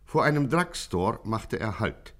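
An actor as the wry, theatrical storyteller of a scripted radio crime satire. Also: male voice, lowish voice, big pitch swings, measured pace, fairly bright tone. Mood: wry, knowing. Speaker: narrator. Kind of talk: scripted radio play narration, 1950s.

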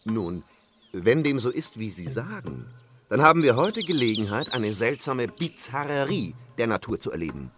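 An actor playing an urbane, eager gentleman explorer, courtly and mannered, in a comic radio play. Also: medium pitch, very animated, moderate pace, music in the background, natural voice. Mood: politely intriguing.

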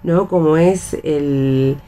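Argentine radio panelist, middling pitch, thinking aloud hesitantly and checking for agreement. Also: drawn-out words, dark tone, smooth. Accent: Argentine Spanish. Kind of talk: casual round-table theatre discussion.

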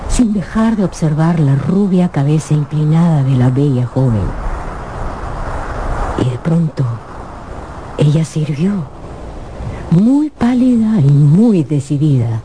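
A woman narrator telling a dramatic scripted story for radio in an expressive, measured way. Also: low voice, slight background noise, low-quality recording. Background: music.

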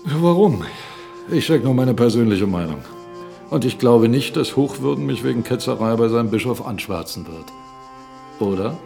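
A stubborn blunt mill boss in a radio play, mid pitch, argues hard, music behind him. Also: male voice, expressive, moderate pace, creaky, dark tone. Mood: defiant, heated. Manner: argumentative, emphatic, rhetorical questions. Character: blunt, defiant male mill owner and captain, critical of church. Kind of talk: German radio drama acting.

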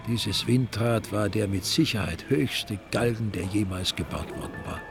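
A man narrates a crime story in a low voice with plenty of creak. His delivery is dry and wry, and music plays clearly behind him. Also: moderate pace. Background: music under the narration.

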